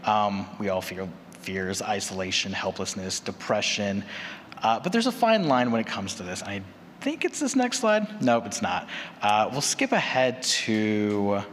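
A man's voice: fairly low pitch, sing-song, a little slow, fairly bright tone. A man giving a casual presentation as a panelist, guiding the discussion along. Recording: echoey hall, background noise.

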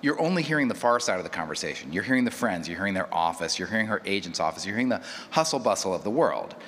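A man with a very low voice talks thoughtfully as a producer on a panel. He feels his way through explanations, repeating small phrases. The hall rings with long reverb and there is clear background noise. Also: very animated, fast, fairly bright tone. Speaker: audio drama producer on a panel.

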